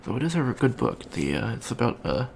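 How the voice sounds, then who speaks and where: A man playing an awkward, bookish young alien college student in an ASMR roleplay, talking softly and hesitantly with many ums and stammers. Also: medium pitch, very animated, a little fast, creaky, a little hiss or hum. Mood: awkward, earnest. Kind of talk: soft-spoken ASMR roleplay.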